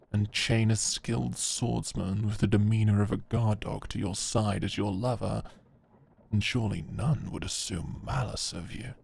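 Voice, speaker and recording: A man playing a stern, shrewd knight in a medieval audio drama, calm, measured and knowing. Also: fairly low pitch, creaky, breathy edge, full character voice. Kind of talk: dramatic audio roleplay acting.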